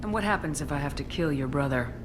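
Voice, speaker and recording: An actress playing a stern, commanding space military commander in a video game, blunt and probing. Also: female voice, low-pitched, animated, fast talker, noisy background. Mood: businesslike, probing. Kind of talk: dramatic video game dialogue acting.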